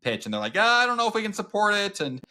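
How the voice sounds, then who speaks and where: Bright-voiced man chatting casually. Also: very animated, racing. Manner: filler-heavy, lots of "like", acts out quotes in a high voice. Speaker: podcast co-host. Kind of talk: casual chat about a book.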